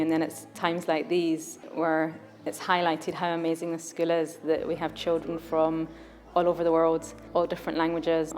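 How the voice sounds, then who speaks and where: Earnest woman teacher, low flat voice. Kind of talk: interview answers.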